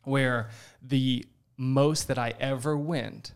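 A man's voice: mid-range voice, fairly even intonation, slow, clean tone. A man in his twenties, a podcast guest, earnestly telling a personal story in casual talk. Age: 20s.

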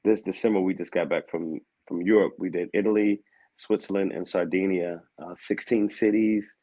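A man in his thirties talks through his music life in a relaxed way, often saying "man" and laughing. His voice sits very low. He sounds like a guest on a casual podcast. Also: normal speed. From Aberdeen, Maryland. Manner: easygoing, laughs often, says "man" a lot. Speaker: guest, vocal coach and assistant pastor.

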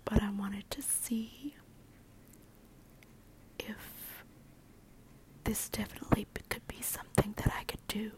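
A middle-aged woman speaks entirely in a soft unvoiced whisper, unhurried and gentle. She draws words out and lets repeated words trail off to almost nothing, in a soothing ASMR manner. Her nerves show lightly beneath the calm. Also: mid-range voice, low-quality recording. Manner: slow, soothing, repeats words fading away. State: nervous. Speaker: podcast host.

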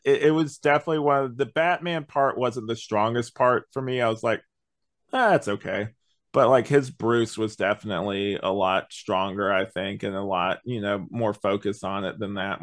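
Low-voiced man chatting on a podcast. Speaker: podcast host. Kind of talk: casual review chat.

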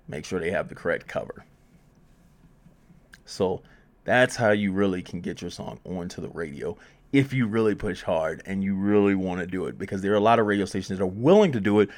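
Man, lowish pitch, casual advice talk, informal phrasing, emphatic repetition, conversational presenter. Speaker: music promotion advice presenter.

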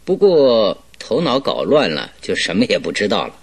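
A confident, secretive radio-drama detective: lowish husky voice, animated, wryly amused. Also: male voice, heavily acted. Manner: chuckling, teasing, unhurried and evasive. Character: self-assured, secretive, amused male detective.

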